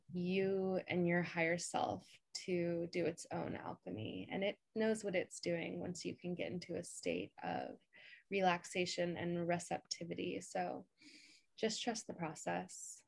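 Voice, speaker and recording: A woman podcast co-host leading a calm guided meditation with spoken instructions. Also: on the low side, unhurried, gravelly, no room sound.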